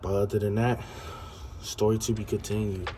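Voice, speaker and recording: A man's voice: fairly low pitch, flat delivery, moderate pace, mellow, a little hiss or hum. A young adult man telling a story casually, stuttering and repeating himself as he goes.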